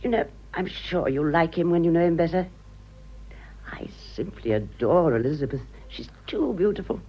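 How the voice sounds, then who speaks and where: A woman's voice: fairly low pitch, expressive, moderate pace, husky. An actress playing a vain, gushing, aging society mother with an upper-class English accent in a radio comedy. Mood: confiding. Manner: effusive, drawling, girlishly theatrical. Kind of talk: stage comedy radio acting. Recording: dull, muffled old radio drama.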